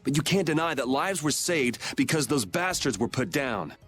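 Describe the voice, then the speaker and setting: A man's voice: medium pitch, expressive, moderate pace, fairly bright tone, soft background music, lightly acted. A man playing a cynical, defiant young vigilante swordsman in a dubbed anime game, with a blunt, sardonic delivery. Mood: bitter, defiant.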